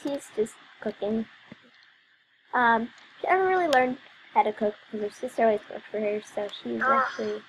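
A woman's voice: fairly high pitch, moderate pace, noisy background. A young woman casually narrating a life-sim game as host, chatty and giggly with frequent ums and asides. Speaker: let's play host. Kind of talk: casual gameplay commentary.

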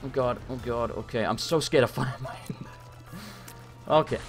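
A man commentating a live gameplay stream, hoarse and losing his voice, talking frantically and swearing often. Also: mid-range voice, moderate pace, faint music behind. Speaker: gaming streamer.

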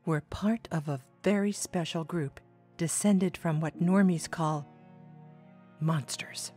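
A woman playing a gentle, composed monster mother, deliberate and coaxing, in an audio drama. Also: low-pitched, faint music behind. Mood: composed, explaining.

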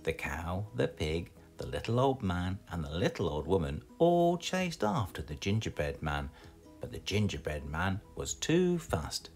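A British man reading a children's storybook aloud in an expressive, scripted storytelling style. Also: sits low, unhurried, faint music behind. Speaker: storybook reader.

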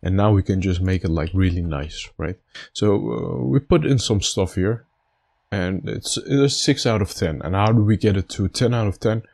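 A man with a slightly raspy, stuffed-up voice giving casual gameplay commentary, thinking aloud in a halting way. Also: low-pitched, a little mellow. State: stuffy nose after being sick. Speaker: gaming video host.

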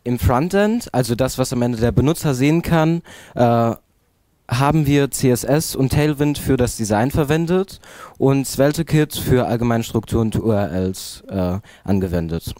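A teenage boy explains the frontend with fillers and restarts. His tone is mellow and mid-pitched. The sound is dry and close on a handheld mic. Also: expressive, normal speed, dry recording. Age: teens. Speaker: student presenter.

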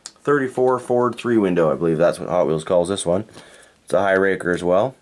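Man, low voice, laid-back collector thinking aloud, faint hiss behind. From Canada. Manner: relaxed, unhurried, thinks aloud and corrects himself. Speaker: toy collector reviewing cars. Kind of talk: unscripted hands-on toy review. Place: indoors at a tabletop diorama.

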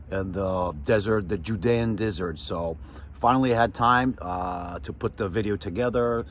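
Brief: man, 40s, very low voice, halting selfie-vlog delivery, wind noise on the track. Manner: halting, many uh fillers and restarts. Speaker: vlogger. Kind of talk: casual travel vlog. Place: outdoors on a desert lookout. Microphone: phone selfie at arm's length.

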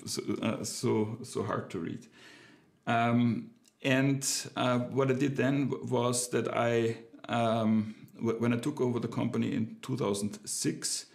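Man with a gravelly voice, halting speech, home office, desk camera. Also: lowish voice, darkish tone. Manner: hesitant, many fillers and restarts. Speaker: business owner reviewing a book. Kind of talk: casual unscripted talk to camera. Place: home office with bookshelves, indoors.

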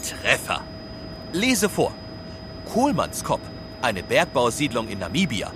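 A man's voice: wildly swooping pitch, slow. An actor playing an odd, dutiful police officer in an audio play who announces his own actions in clipped phrases. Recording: rumbling vehicle noise behind.

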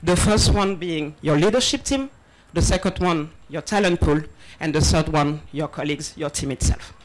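A woman's voice: low voice, moderate pace, rough recording. Nervous woman speaker from Senegal. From Senegal, raised in France. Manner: nervous, laughing between points, many ums. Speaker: conference speaker on diversity hiring. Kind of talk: conference talk with slides. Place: large event space, partly open-air, with audience. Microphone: handheld microphone.

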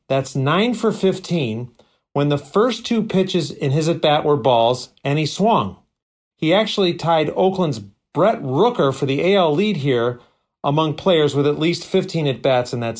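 A man reading a prepared stats segment, dry and list-like with wry asides. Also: fairly high pitch. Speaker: stats contributor.

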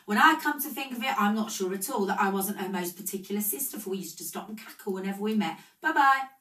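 A woman's voice: mid-range voice, expressive, pitched above the performer's own voice. Woman doing a bored fat businessman. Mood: brisk gossip. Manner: loud, brisk, offhand gossip. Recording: roomy, distant mic.

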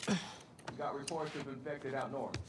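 A man acting a wry, easygoing young American survivor in a video game, teasing his friend in a casual, deadpan way. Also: mid-range voice, normal speed, faint music behind, lightly acted. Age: young adult.

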